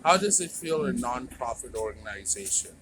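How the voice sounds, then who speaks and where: A man's voice: mid-range voice, lively intonation, a little fast. A man doing informal street interviews and commentary in an earnest, repetitive, plain-spoken way. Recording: noisy outdoor street recording with traffic.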